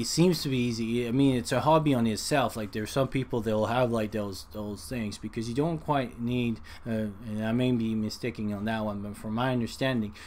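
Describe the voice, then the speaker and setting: Halting, rambling man over background noise. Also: medium pitch, darkish tone. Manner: halting, rambling, with frequent hesitations. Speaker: hobbyist video presenter. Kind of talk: casual unscripted explanation.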